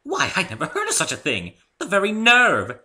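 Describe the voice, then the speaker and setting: Man playing posh, gushing lady unicorn designer for a story reading: raised pitch, swooping, theatrical drawn-out emphasis. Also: wildly swooping pitch, pushed and bright, heavily acted. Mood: indignant. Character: refined, theatrical female unicorn fashion designer, gushing and gossipy.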